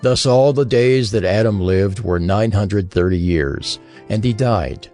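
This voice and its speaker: A man's voice: on the low side, measured pace, a little mellow, faint music behind. A man narrating scripture from a script in a declarative storytelling manner.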